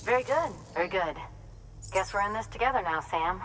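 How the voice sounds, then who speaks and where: A woman's voice: medium pitch, lively intonation, fairly quick, a bit bright, a little hiss or hum, natural voice, low-quality recording. An actor playing a friendly, chatty woman prepper who welcomes a courier in a game cutscene. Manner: chatty, friendly, self-deprecating. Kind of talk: video game cutscene acting.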